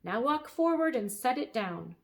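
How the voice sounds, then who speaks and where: A woman's voice: sits high, very animated, moderate pace, pitched above the performer's own voice. A woman voicing an earnest young boy in a storybook reading. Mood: urgent.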